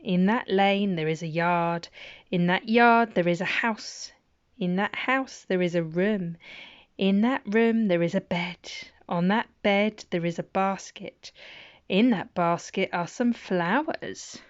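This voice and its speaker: A middle-aged woman, reading nursery rhymes aloud as a bedtime story, with gentle chatty asides. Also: mid-range voice, takes it slowly, no echo, muffled recording.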